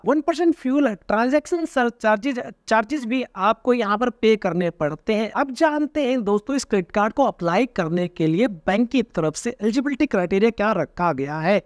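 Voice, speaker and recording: A man in his thirties explaining card benefits straight to camera, animated and gesturing constantly, recorded on a lapel mic in a small room. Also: extremely high pitch, dry recording, studio quality. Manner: animated, gesturing constantly, addresses viewers as friends. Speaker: personal finance YouTuber. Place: small indoor room with a floor lamp.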